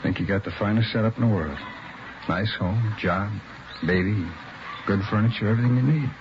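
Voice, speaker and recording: A man's voice: lowish voice, brisk, natural voice. An American actor playing a distraught, evasive working man and father in a vintage radio crime drama, hesitant and plain-spoken. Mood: sorrowful. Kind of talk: mid-century radio crime drama acting. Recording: muffled, noisy vintage radio recording.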